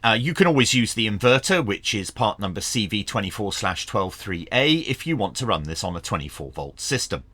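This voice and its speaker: A man's voice: lowish voice, big pitch swings, brisk, few pauses, pushed and bright, slightly rough, no echo. A man narrating a product review to viewers in a matter-of-fact, explanatory way. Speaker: reviewer and channel host.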